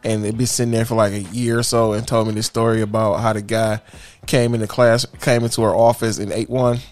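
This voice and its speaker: Guy cohosting a podcast at home, loud crude jokes, laughs a lot, desk mic. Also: fairly low pitch, level tone, brisk, soft background music. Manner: loud, joking, laughs often. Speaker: podcast co-host. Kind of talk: crude casual podcast banter. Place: home studio room. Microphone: studio mic on desk stand, headphones.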